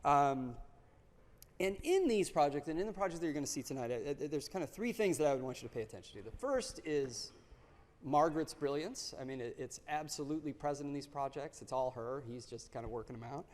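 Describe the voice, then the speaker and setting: A man giving a halting spoken introduction for a lecture speaker, with many fillers and restarts. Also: a little high, expressive, a little mellow. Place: lecture auditorium. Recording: long auditorium reverb.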